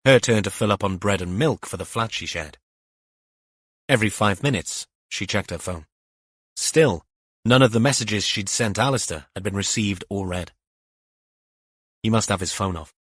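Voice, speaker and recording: A man's voice: on the low side, moderate pace, long pauses, fairly bright tone, breathy edge, dry recording, studio quality. A man reading a mystery novel as scripted audiobook narration.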